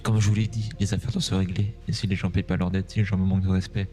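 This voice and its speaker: A very low, flat-toned gangster acted by a man, laconic and unrepentant. He speaks matter-of-factly through muffled game voice chat with faint music behind. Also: racing, close to an everyday voice. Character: unrepentant male gangster confessing to debt killings. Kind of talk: improvised online role-play in a video game.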